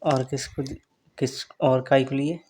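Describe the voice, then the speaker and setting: A man's voice: medium pitch, flat delivery, measured pace, a little mellow. A man chatting casually on a phone call, coaxing and repeating his questions. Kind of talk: casual intimate phone chat. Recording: clearly noisy phone call recording.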